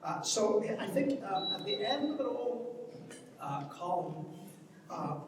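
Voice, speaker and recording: An Irish man gives an informal literary talk, hesitating and doubling back on his words as he thinks. He is heard from far off in a hugely reverberant hall, with clear noise in the recording. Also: high-pitched, very animated. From Ireland. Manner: halting and hesitant, often repeating words as he searches for phrasing. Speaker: academic speaker commenting on a play. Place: large echoing hall at a public event. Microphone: distant microphone. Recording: very echoey hall from far off, noisy.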